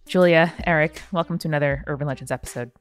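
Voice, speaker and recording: A woman's voice: low-pitched, quick pace, smooth, faint music behind. A woman hosting a podcast, chatting casually with dry, teasing humor and reading out a listener's email. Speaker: podcast host.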